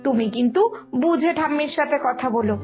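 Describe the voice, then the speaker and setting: A woman playing a selfish, evasive young granddaughter in an animated moral story, whiny, impatient and complaining. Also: high voice, a little fast, faint music behind, close to an everyday voice. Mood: defiant. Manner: fussy, complaining.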